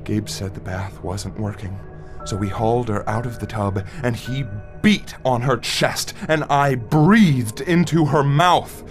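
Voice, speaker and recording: A man acting a wistful father who recounts a dark memory to his child in a conversational, reflective monologue with dramatic pauses. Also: medium pitch, lightly acted. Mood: subdued, tender. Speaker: narrator of an audio play. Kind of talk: first-person dramatic monologue, storytelling. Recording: music under the voice.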